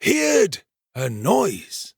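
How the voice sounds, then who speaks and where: A pompous manservant from a storybook , acted out by a man, full of his own importance. His voice creaks and rasps, and he draws out each emphatic phrase in great swoops of pitch. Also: huge pitch swings, very slow, dry recording, clean studio sound, heavily acted. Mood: self-important, suspenseful. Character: pompous, self-important male servant telling his alarming adventure. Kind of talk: dramatised storybook reading.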